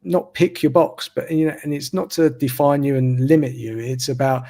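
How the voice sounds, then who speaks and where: A man, talking slowly and reflectively as a podcast host on a video call. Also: mid-range voice. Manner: slow, even, reflective delivery. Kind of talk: casual interview conversation. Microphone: video call mic.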